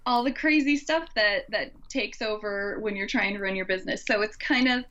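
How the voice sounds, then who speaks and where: A woman's voice: mid-range voice, moderate pace, fairly bright tone. A woman co-hosting a podcast in casual, earnest and encouraging conversation. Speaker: podcast co-host. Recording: dull, lo-fi voice recording.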